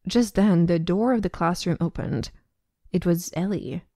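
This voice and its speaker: A woman's voice: lowish voice, unhurried, dark tone, no room sound, studio quality. A woman narrating a scripted audio drama as the storyteller.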